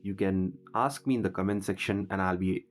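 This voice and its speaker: A man's voice: sits low, fairly even intonation, a little fast. A man talking through a product unboxing as a vlogger, in a casual reviewing style. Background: music.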